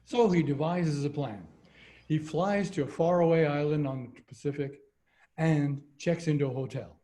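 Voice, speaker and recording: Higher-pitched man reading comic material. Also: normal speed, warm tone. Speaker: readers theater performer. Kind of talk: performed reading of jokes and stories. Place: home, on a video call. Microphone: video call.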